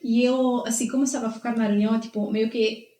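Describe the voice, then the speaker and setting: Italian-accented woman, mellow and roomy. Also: a little high, fairly even intonation, quick pace. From northern Italy. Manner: hesitant, reflective, many restarts and laughs. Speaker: guest. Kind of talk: casual interview chat. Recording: roomy echo.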